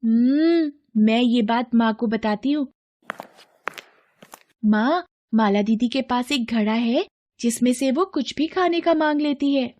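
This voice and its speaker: An excitable little girl, voiced by a woman, pitched high. She is nosy and eager to tattle, in a fairy-tale cartoon manner. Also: slow, a little hiss or hum. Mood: surprised, tattling.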